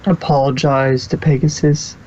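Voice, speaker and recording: A man's voice: medium pitch, steady tone, moderate pace, darkish tone. A man narrating fan fiction from a script in an informal way, with occasional stumbles. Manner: informal, stumbles and self-corrects. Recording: dull lo-fi recording.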